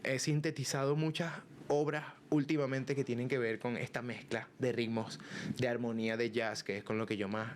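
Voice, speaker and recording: Man, Caracas accent, musician guest, casual chat, animated, faint background noise. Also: medium pitch, normal speed. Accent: Venezuelan, Caracas. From Venezuela. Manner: animated, sings and scats rhythms, frequent restarts.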